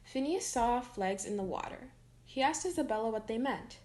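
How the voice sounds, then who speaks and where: Higher-pitched woman reading a children's story. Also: animated, moderate pace, darkish tone. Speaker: storytime reader. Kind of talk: children's picture book read-aloud.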